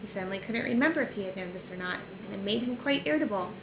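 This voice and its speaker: A woman's voice: medium pitch, expressive, racing. Woman reading a novel in echoey room. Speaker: book reader. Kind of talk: reading a novel aloud, narration. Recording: roomy echo with clear background noise.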